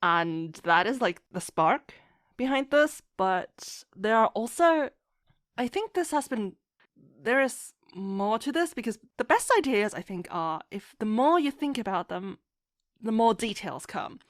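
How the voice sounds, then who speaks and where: A woman's voice: on the high side, lively intonation, measured pace, no room sound, clean studio sound. A woman chatting casually as an interview guest, rambling enthusiastically and acting out her own thoughts as she goes. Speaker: podcast guest, fan fiction writer.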